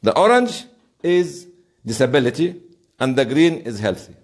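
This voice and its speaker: Animated man lecturing a class. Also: medium pitch, normal speed. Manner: emphatic storytelling with rhetorical questions. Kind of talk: informal interactive classroom lecture.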